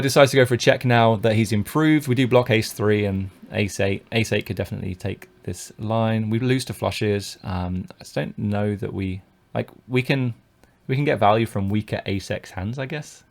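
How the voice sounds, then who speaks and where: Man from the UK, low gravelly voice, poker stream commentary, thinking out loud, fillers and restarts. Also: moderate pace. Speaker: poker coach streaming his play.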